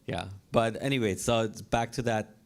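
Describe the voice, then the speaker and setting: Iranian guy, middle-aged, low smooth voice, founder chatting casually in an interview. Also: normal speed. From Iran. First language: Persian. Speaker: interview guest, tech company co-founder.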